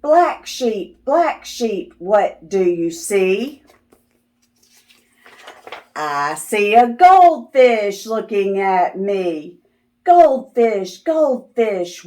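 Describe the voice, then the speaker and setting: A woman hosts a read-aloud for small children. Her voice is fairly high and very animated, with a sing-song lilt. She takes it very slowly and speaks like a teacher to little ones. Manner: sing-song, repetitive phrasing addressed to young children; teacherly, asking questions and affirming answers.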